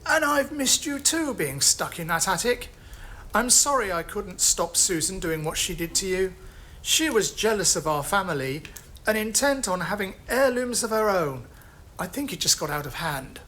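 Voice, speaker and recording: A woman playing a gentle, forgiving young heir in an audio drama. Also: mid-range voice, expressive, moderate pace. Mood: apologetic.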